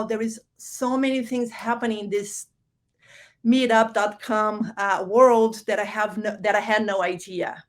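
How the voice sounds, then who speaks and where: Brazilian woman giving a conference keynote. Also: on the high side. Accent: Brazilian Portuguese. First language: Portuguese. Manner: conversational, many fillers and restarts. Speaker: keynote speaker.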